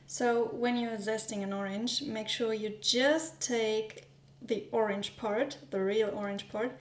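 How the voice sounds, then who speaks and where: A German woman with a German accent, vlogging in a gentle, hesitant way with frequent ums while baking in her home kitchen. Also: fairly high pitch, steady tone, takes it slowly, roomy sound. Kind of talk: soft-spoken vlog narration.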